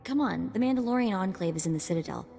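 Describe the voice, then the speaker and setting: A woman's voice: medium pitch, expressive, fast, vocal fry, mellow, big-room sound, faint music behind. A woman playing a streetwise, sarcastic young sidekick with a wry, casual manner in a video game.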